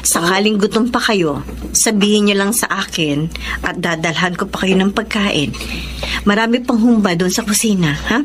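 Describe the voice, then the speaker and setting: A woman acting a gentle, long-suffering middle-aged Filipino mother in a radio drama, tender and coaxing. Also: mid-range voice, moderate pace, slight background noise, lightly acted. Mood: caring. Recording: music bed behind dialogue.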